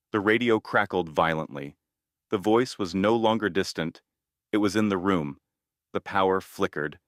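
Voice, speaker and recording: A man narrating a scripted scary story in a measured, ominous manner, in short deliberate phrases. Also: deep voice, a bit bright, dry recording, studio quality.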